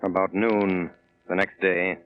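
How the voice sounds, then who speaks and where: An actor playing a grave man narrating his boyhood adventure in measured 1930s radio drama style. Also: sits low, flat delivery, soft background music. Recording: muffled old 1938 radio recording.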